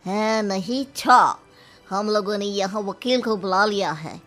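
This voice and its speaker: Woman putting on stern, menacing mother-in-law, lowered voice. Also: slow, a bit bright. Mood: angry, commanding. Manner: scolding, menacing. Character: stern, threatening Chinese mother-in-law, middle-aged villain. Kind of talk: cartoon story character voice.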